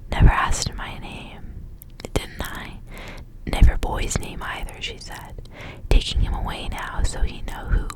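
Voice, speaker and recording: A young woman whispering in a breathy, intimate ASMR style, softly reading and chatting. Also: unhurried. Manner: soft, intimate narration with casual asides. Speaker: ASMR reader.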